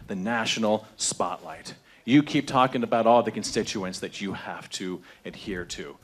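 A man in his thirties speaking angrily and emotionally into a handheld microphone at a crowded town hall meeting, confronting his congressman. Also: low-pitched. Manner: angry, confrontational, rising in emotion. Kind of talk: impassioned public speech. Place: large crowded meeting room indoors.

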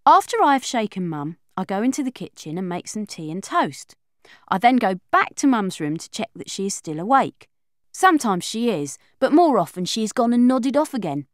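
A woman's voice: fairly high pitch, no echo, studio quality. A woman narrating a children's audiobook in the first person as a chatty, confiding young girl. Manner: chatty, confiding, lively schoolgirl voice.

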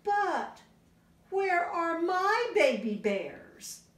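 Storybook mother bear woman reader, gentle and playfully teasing, swooping intonation, heard from a distance. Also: very high-pitched, sing-song, drawn-out words, a little hiss or hum, voice pushed up, exaggerated voice. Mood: playfully puzzled. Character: gentle mother bear playing along with her cubs. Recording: roomy, distant camera sound.

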